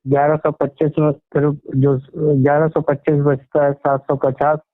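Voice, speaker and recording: A man's voice: on the high side, flat delivery, moderate pace, no echo. A man on a phone call, hesitantly summing up what he was told in plain words. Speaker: caller being pitched to. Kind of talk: phone conversation. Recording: tinny phone line, dry.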